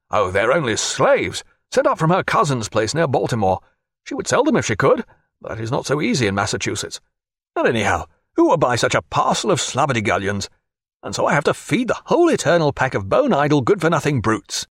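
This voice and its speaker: A husky, high-pitched merchant frets and fusses in a voice that leaps up and down. He pleads and grumbles by turns, easily worked up, as a narrator puts him on. The recording is close and dry. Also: brisk, dry recording, full character voice. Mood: scornful. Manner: fussy, excitable, pleading. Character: fretful middle-aged Boston merchant man. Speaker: former loyalist and merchant.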